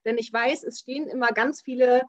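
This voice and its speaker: A woman's voice: on the high side, fairly even intonation, brisk, no room sound. A woman in her thirties hosting a casual video-call interview, chatty and enthusiastic with many fillers. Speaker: interview host. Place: small home room with shelves. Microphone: webcam on a video call. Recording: dull, dry video-call audio.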